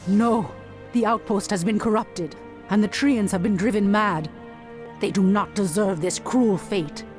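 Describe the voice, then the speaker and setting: An actress playing a proud, resolute night elf warrior priestess in a fantasy game, speaking formally and firmly. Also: female voice, mid-range voice, a little slow, close to an everyday voice. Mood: dismayed. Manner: formal, earnest, firm dramatic delivery. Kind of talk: dramatic fantasy game acting. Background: loud music.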